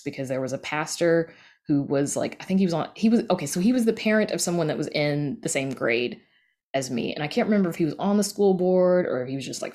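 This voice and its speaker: A woman's voice: deep voice, darkish tone. An American woman from East Texas speaking casually and emphatically as an interview guest. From East Texas, United States. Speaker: podcast guest, audio drama creator.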